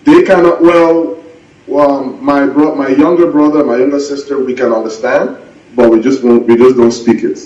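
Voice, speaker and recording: A man speaking as a pastor and guest in a casual interview chat, soft-spoken, laughing often and repeating words as he talks. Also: mid-range voice, steady tone, normal speed, dull audio. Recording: echoey and distant from mic.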